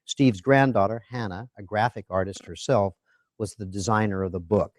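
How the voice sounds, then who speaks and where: A man hosting a live interview at a museum, introducing the guest and asking questions in a measured way. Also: fairly low pitch, steady tone, no echo. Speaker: interviewer and host.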